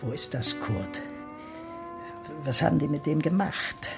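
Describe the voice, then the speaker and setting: A man's voice: a little high, expressive, a little slow, vocal fry, music in the background. An actor playing a matter-of-fact male police colleague in a radio crime drama, reporting in a terse, dry, clipped manner. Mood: grave, matter-of-fact.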